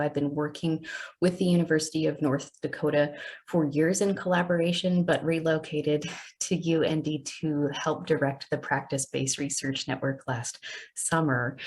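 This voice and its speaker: A middle-aged woman from Alaska, a physician giving a conference talk over a video call in an unhurried storytelling way. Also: sits low. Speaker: physician and research network director, presenter. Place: indoors, virtual background.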